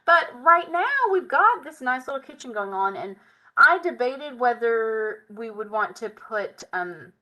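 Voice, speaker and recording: Casting note: woman, hoarse and worn, bright tone, casual live tutorial host, chatty and muttering. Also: a little high, animated, a little slow, rough recording. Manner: chatty, Southern "y'all", muttering while working. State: tired, hoarse voice. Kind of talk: live screen-share tutorial, thinking aloud.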